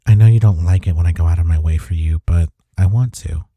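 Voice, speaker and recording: A man playing a devoted, gentle boyfriend in an intimate comfort audio roleplay, soft-spoken and reassuring. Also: deep voice, fast talker, no room sound. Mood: tender, reassuring.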